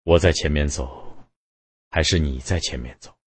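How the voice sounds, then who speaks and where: A man's voice: a bass voice, a little slow, husky, no room sound, exaggerated voice. A man doing a cold, resolved husband. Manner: cold, flat.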